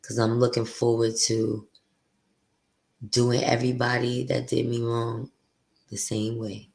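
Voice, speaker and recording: A woman with a deep voice hosting her podcast, venting bluntly and profanely in a candid, confessional monologue. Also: flat delivery, a little slow, gravelly, dark tone. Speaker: podcast host.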